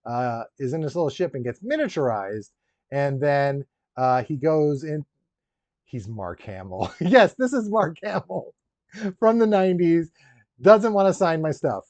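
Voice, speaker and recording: A man with a slightly high voice hosts a casual live stream for collectors. He rambles along, tossing in joking asides as he chats with viewers. The sound is roomy and a little dull and lo-fi. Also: sing-song, unhurried, darkish tone. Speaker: live stream host, toy collector unboxing a figure.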